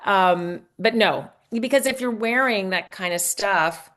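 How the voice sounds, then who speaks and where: Female interview guest, mid-pitched, casual and explanatory, rambling with self-corrections. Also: unhurried, a bit bright. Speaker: guest author.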